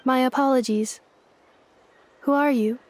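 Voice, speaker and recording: A woman's voice: high-pitched, fairly even intonation, unhurried, a little mellow, soft background music. A woman voicing a polite, confused female robot in a video game. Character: polite, confused female robot who lost her memory.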